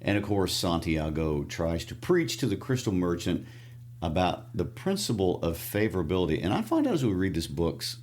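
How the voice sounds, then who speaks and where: A man with a Midwestern accent, co-hosting a casual book discussion podcast and explaining the story in a relaxed way. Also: sits low, moderate pace. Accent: Midwestern American. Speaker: podcast co-host.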